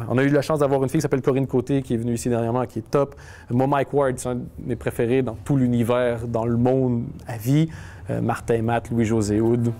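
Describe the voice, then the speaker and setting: A man's voice: medium pitch, warm tone. A young man, chatting casually and wryly in an interview, often restarting his sentences. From Quebec. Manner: conversational, wry, restarts often.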